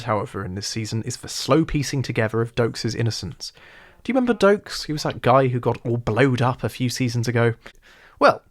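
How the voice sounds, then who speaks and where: Male, RP English, wry sarcastic video essayist, medium pitch. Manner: wry, sarcastic, animated delivery. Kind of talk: scripted video essay narration.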